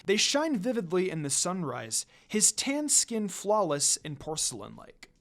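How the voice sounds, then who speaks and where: A man reading a fan fiction story aloud in an expressive narrating style. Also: sits high, a little slow. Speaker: fan fiction reader, channel host. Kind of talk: expressive scripted story narration with casual asides. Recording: music under the voice. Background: music.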